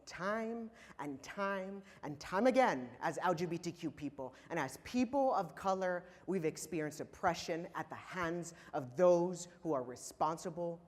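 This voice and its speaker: A man in his thirties from Los Angeles, giving an impassioned prepared keynote speech to a conference audience with emphatic, pointed pauses. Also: on the high side. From Los Angeles, California. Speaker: keynote speaker. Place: large conference hall. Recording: reverberant hall.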